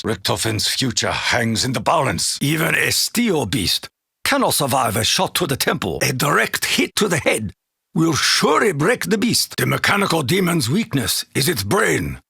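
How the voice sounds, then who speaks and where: An actor playing a proud, honor-bound Japanese warrior in a video game, with a Japanese accent, gravelly husky voice and solemn, formal, declamatory delivery. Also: male voice, medium pitch, sharp tone, dry recording, studio quality, full character voice. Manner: solemn, declamatory, formal clipped phrasing with dropped articles. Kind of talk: theatrical video game voice acting.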